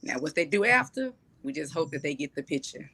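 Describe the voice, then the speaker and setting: Low, creaky-voiced woman chatting casually. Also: very animated, fairly quick, bright, forceful tone. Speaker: stage play cast guest. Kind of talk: casual, conversational interview talk.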